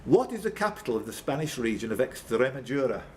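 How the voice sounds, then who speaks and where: Male quiz master, bantering, swinging intonation, slightly high register, live pub setting with light background noise. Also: big pitch swings, fast talker, darkish tone. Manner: bantering, jokey asides.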